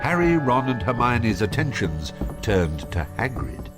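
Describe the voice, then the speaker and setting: A man's voice: medium pitch, big pitch swings, unhurried. A man playing the storyteller, reading scripted storybook narration for a video game. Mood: storytelling. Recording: loud music under the voice.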